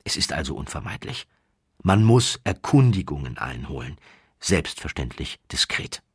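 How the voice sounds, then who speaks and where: A man narrating a literary story from a script, in a wry, measured, mannered way with deliberate pauses. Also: very deep voice, big pitch swings, husky, no room sound, studio quality. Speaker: audiobook narrator.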